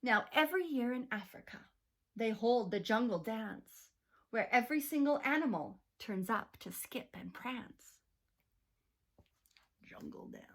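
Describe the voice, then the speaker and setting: A woman teacher reading a rhyming picture book aloud to children, slow and expressive. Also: a little high, slight background noise.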